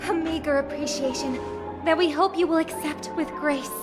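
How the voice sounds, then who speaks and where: A woman's voice: high-pitched, fairly even intonation, moderate pace, close to an everyday voice. An actress playing a poised young woman who gives a formal, gracious ceremonial speech in a video game. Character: poised young woman, festival hostess of a ruling family. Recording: orchestral game music behind. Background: music.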